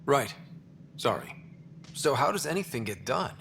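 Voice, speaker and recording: A man's voice: mid-range voice, very animated, measured pace, creaky, fairly bright tone, slight background noise, full character voice. An actor playing a casual, curious young male explorer in a video game, informal and blunt in his questioning. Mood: wry, blunt. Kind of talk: scripted video game dialogue.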